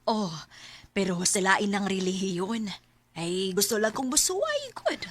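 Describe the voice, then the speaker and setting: An actress playing a middle-aged widowed mother talking softly to her grown son in a Bisaya radio drama. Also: mid-range voice, big pitch swings, unhurried. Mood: casual, affectionate. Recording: noisy radio drama with household sound effects.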